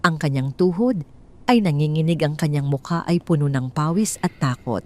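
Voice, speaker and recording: Low-set woman narrator, measured first-person drama storytelling. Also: darkish tone.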